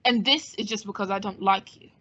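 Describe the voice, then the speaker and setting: A woman's voice: mid-range voice, fairly quick, very noisy, natural voice. A woman playing a suave, wisecracking male adventurer-detective in an old-time radio drama, with a wry, composed, teasing manner. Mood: wry, cool. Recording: dull, muffled lo-fi sound.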